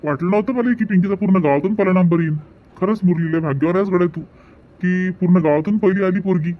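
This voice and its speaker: A man acts out an earnest cartoon village headman with a rural Vidarbha Marathi accent. His voice sits on the high side, and he talks in a folksy, repetitive, speechifying way. The sound is dull and lo-fi. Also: fast talker, close to an everyday voice. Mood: proud, encouraging. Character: earnest, community-minded male village headman. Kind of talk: hobby cartoon voice-over, village dialogue.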